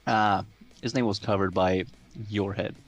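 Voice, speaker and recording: A man's voice: lowish voice, fairly even intonation, a little slow, dull audio, soft background music. A man from Italy chatting casually alongside a friend's gameplay, rambling and laid-back. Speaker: co-host friend. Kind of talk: casual chat.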